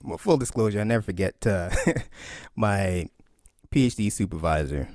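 Man in his thirties, interview guest, lowish voice, laughs often, dry close recording. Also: moderate pace, no echo. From Canada. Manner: animated, laughs often, acts out quotes. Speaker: author and historian. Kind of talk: interview answer, explaining.

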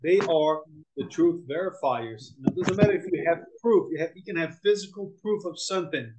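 A man from Brazil, a podcast guest, talking in a halting, filler-heavy way with many restarts. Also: fairly high pitch, background noise. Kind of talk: casual podcast conversation.

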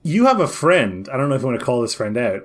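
Fast-talking man, eager podcast chat. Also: mid-range voice, big pitch swings. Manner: quick, eager, stumbling repeats. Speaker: podcast co-host. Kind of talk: casual chat.

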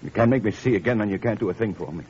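An actor playing a despairing, newly blind patient in an old radio drama, curt and defiant. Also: male voice, on the low side, rapid-fire, a little mellow, natural voice. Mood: bitter, defiant. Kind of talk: 1940s-50s radio drama acting. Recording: dull, noisy old radio recording.

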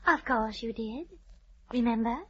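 A woman's voice: a little high, unhurried, fairly bright tone, slightly rough, no echo. An actress playing a genteel, sweetly poised society lady suspected of poisoning, in an old-time radio drama. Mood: gently insistent. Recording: muffled old radio recording.

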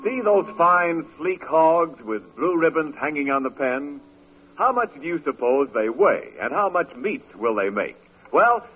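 A muffled vintage radio recording of a male announcer. His slightly high, husky voice swings expressively through scripted sponsor copy.

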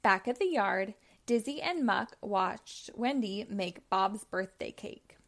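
Slow-paced woman reading a children's story. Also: medium pitch, lively intonation, a bit bright. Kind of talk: read-aloud storytelling for young children.

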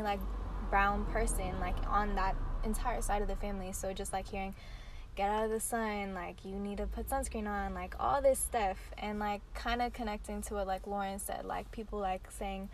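A young woman leading a casual group chat, speaking softly and filling her sentences with "like". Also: mid-range voice, a little hiss or hum. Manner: frequent "like" fillers, soft-spoken. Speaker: discussion leader.

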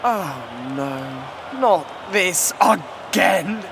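A fussy male arena creature speaks extremely high, stretching out halting, melodramatic phrases in an audio drama. The pitch swoops about, with noise behind the voice. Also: animated, creaky, full character voice. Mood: exasperated.

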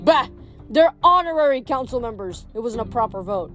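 A woman's voice: on the high side, lively intonation, moderate pace, fairly bright tone, soft background music. Meet a woman doing a very old, very fat satyr with a raised, slightly distant voice. He is pompous and huffy, blusters at everyone, and stammers whenever he gets frightened. Think of a fussy old creature in a storybook read aloud.

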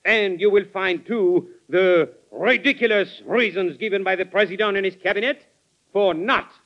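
An actor playing a proud, scheming envoy, in impassioned old-time radio drama style. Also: male voice, sits high, moderate pace. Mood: persuasive. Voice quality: impassioned, declamatory. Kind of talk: 1940s radio acting. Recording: muffled old radio recording.

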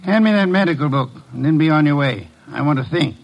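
An actor playing a shrewd, imperious American detective in old-time radio drama, pompous and dryly sardonic, with a gravelly voice. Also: male voice, fairly high pitch, expressive, poor audio. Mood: scornful, dismissive. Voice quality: gravelly, husky. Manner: pompous, deliberate, probing.

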